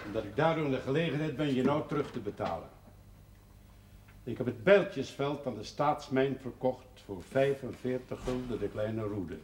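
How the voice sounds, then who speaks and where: An actor playing a proud, plain-spoken village brewer and father in a period television drama, with a husky voice. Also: medium pitch, darkish tone, a little hiss or hum, close to an everyday voice. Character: firm, proud rural brewer and devoted father. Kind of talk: 1970s Dutch television period drama acting.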